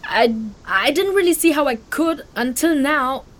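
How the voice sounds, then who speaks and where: An actress playing a guilt-ridden, stubborn young refugee in a fantasy audio drama, speaking in an emotional, halting, earnest way. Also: female voice, high voice, animated, a bit bright. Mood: determined.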